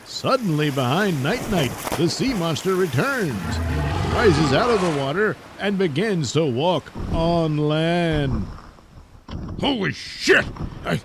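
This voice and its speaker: A man's voice: fairly high pitch, expressive, slow, background noise. A man narrating a comic audio serial in a dramatic storytelling style.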